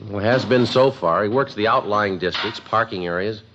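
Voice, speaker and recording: An American actor playing a weary, laconic homicide detective in a 1950s radio police drama, clipped and deadpan. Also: male voice, lowish voice, fairly quick, natural voice. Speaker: lead actor and narrator. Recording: noisy, dull 1950s radio recording.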